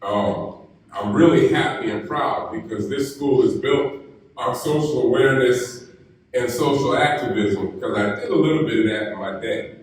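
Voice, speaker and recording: A man giving a graduation keynote, telling stories in a slow, deliberate way. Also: mid-range voice, a little hiss or hum. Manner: storytelling, slow deliberate delivery. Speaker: keynote speaker. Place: university ceremony hall. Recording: echoey hall, mic heard from a distance.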